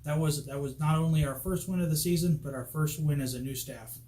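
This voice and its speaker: A man's voice: a little high, a little fast, mellow. A young man, a graduating student, introducing himself in an easygoing, upbeat way. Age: young adult. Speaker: graduating student, assistant coach. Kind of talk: recorded self-introduction. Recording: echoey room.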